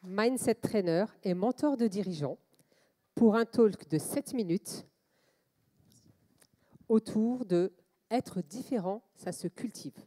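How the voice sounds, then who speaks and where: A woman's voice: mid-range voice, warm tone. A woman co-hosting a conference, formally introducing the next speaker. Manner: slow, formal announcing. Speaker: event co-host.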